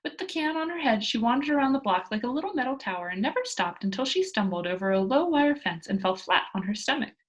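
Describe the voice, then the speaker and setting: A woman reading a children's storybook aloud as an expressive narrator. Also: on the high side, quick pace, hardly any pauses. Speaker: storybook reader.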